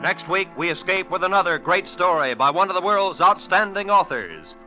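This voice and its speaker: Higher-pitched vintage radio announcer man. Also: animated, moderate pace. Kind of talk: 1940s radio announcing, scripted. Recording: dull vintage radio recording over music.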